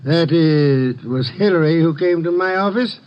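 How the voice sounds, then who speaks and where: An actor playing an imperious male detective genius in a 1950s radio drama, speaking in a measured, formal, commanding way. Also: on the high side, lightly acted. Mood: calm, formal. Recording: muffled 1950s radio broadcast.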